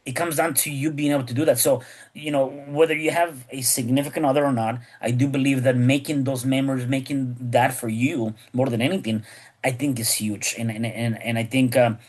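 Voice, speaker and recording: Podcast host, man, chatty and rambling, middle-pitched voice. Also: fairly even intonation, a little fast, darkish tone. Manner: rambling, many repeated words and restarts. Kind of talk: casual podcast conversation.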